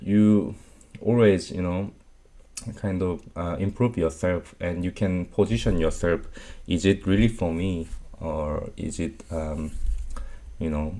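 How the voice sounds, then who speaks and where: A Korean-born man, Korean his first language, talks in a very low, deep voice. He speaks slowly and hesitantly, pausing on many fillers as he answers viewer questions. His grammar is loose and non-native. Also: warm tone. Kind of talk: unscripted Q&A advice talk.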